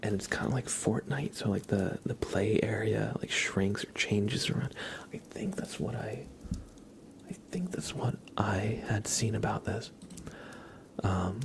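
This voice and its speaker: A man's voice: fairly low pitch, animated, measured pace, gravelly. A young man whispering casual, rambling chat as the host of an ASMR livestream, often hesitating.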